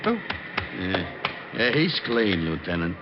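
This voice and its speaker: An actor playing a dim, whiny, put-upon police sergeant in an old radio comedy-drama. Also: male voice, low voice, sing-song, full character voice. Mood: grudging, whiny. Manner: slow-witted, complaining. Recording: muffled old radio recording, faint noise.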